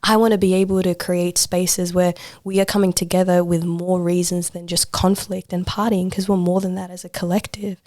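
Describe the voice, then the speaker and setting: A woman's voice: lowish voice, flat delivery, moderate pace, few pauses, warm tone, no room sound, clean studio sound. A woman chatting casually as an interview guest, informal and emphatic, repeating phrases for stress. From Australia. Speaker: podcast guest, poet and social worker.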